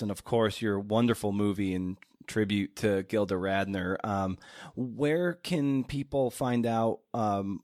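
A man's voice: on the low side, darkish tone, dry recording, clean studio sound. A man hosting a podcast in a casual, informal, chatty way. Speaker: podcast host and interviewer.

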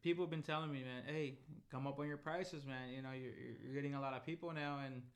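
A man's voice: mid-range voice, steady tone, fast. A man, co-hosting a podcast in a laid-back, slangy, rambling chat. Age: 20s. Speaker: podcast co-host. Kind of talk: casual chat.

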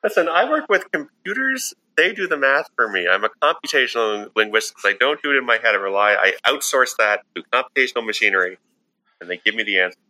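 Bright, dry-witted man in his forties. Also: medium pitch, expressive, rough recording. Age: 40s. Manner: dry, quick-witted joking. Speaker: guest, comics writer. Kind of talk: casual chat.

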